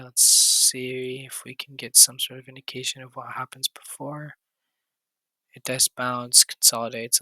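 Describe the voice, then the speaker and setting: A man with a raspy, hoarse voice, going over stock charts in a quick, casual walkthrough with fillers and restarts. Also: medium pitch, sharp tone, no echo, poor audio. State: hoarse, recovering voice. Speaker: stock trading channel host.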